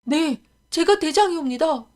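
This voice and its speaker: A woman's voice: sits high, animated, unhurried, a little mellow, voice pushed up. A woman voicing a humble young stable hand who speaks politely in a storybook reading. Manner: polite, deferential.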